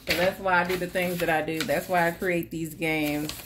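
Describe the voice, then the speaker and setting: A woman, chatting casually to her viewers while packing orders, animated and addressing them as "guys" again and again. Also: fairly low pitch, normal speed, noisy background. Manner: animated, repeats "guys" and "like I said", laughs often. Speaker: budgeting vlogger and shop owner.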